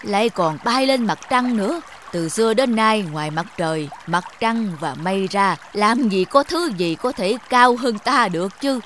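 A woman's voice: mid-range voice, expressive, normal speed, hardly any pauses, a bit bright, slight background noise, close to an everyday voice. A woman voicing a huge, stern, proud old mountain in a cartoon fable, in a scolding, pompous manner. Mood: haughty.

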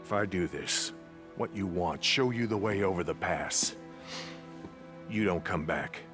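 An actor playing a stubborn, wounded older American camp leader, gruff, in a dramatic video game cutscene. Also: male voice, deep voice, unhurried. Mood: grudging. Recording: background music.

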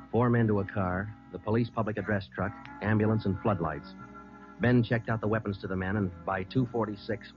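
An actor playing a tough, dry police detective who also narrates in 1950s radio drama, terse and matter-of-fact. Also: male voice, on the low side, close to an everyday voice. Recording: muffled old radio recording.

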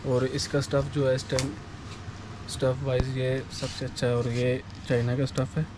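A Pakistani shopkeeper with a Pakistani Urdu accent and a medium-pitched voice walks through his stock, politely calling the interviewer sir. He lists each item and its price in a plain, even way. Clear street and traffic noise runs behind him. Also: moderate pace. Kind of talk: explaining products and prices. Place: wholesale shop in an urban market.

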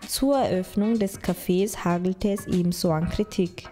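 Mellow female report narrator. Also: on the low side, steady tone, moderate pace. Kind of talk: scripted narration. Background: faint music.

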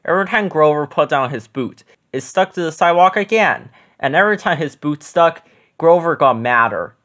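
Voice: mid-range voice, expressive, moderate pace, a bit bright. A storyteller reading a children's picture book aloud as scripted narration.

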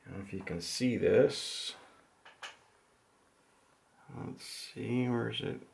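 A man casually demonstrating how to open and modify a radio at his workbench, talking slowly and haltingly to himself as he works. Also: lowish voice, gravelly, a little mellow. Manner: slow, halting, talks to himself while working. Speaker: hobbyist showing a radio modification. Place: indoors at a wooden workbench.

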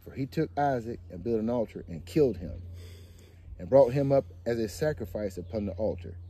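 A man reading the lines of a humble-seeming old man who is secretly a deceiver, measured and slow, in a dark voice. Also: mid-range voice, expressive, slightly rough. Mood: telling.

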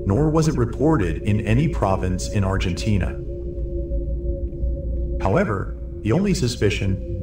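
Low-voiced man narrating horror, roomy echo. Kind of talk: scripted documentary-style horror narration. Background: music.

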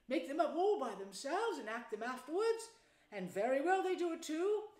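A woman voicing a gentle male mole from a children's story, earnest, eager and emotional. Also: high-pitched, very animated, moderate pace, reverberant, pitched above the performer's own voice. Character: gentle male mole, proud, eager, easily distressed host. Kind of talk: children's storybook character reading.

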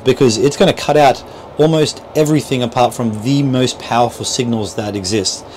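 A man's voice: mid-range voice, a little mellow, a little hiss or hum. A middle-aged man giving an informal, step-by-step how-to demonstration as an instructor, often checking in with the listener. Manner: explanatory, step-by-step, checks in with "right?". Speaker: boat dealer instructor. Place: small boat cabin at a marina. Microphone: clip-on lavalier mic.

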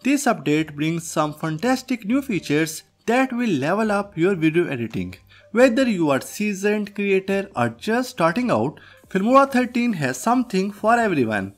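A man presenting a software tutorial as host, reading a scripted narration. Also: sits high, very animated, normal speed. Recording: music behind the voice. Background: background music.

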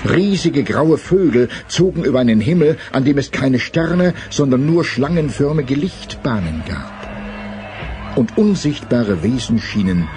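A man's voice: mid-range voice, lively intonation, measured pace, warm tone, natural voice. Grave fantasy audio drama narrator, a man. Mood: ominous. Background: faint music.

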